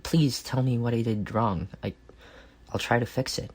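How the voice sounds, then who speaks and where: A man acting a reserved, awkward and earnest best friend in an intimate roleplay, speaking softly and haltingly. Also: mid-range voice, close to an everyday voice. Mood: worried, pleading. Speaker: voice actor.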